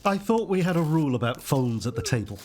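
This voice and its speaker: A man's voice: a little high, sing-song, fast, darkish tone. A man playing a roguish, wry older grandfather who takes bets, in a radio soap. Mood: wry, genial.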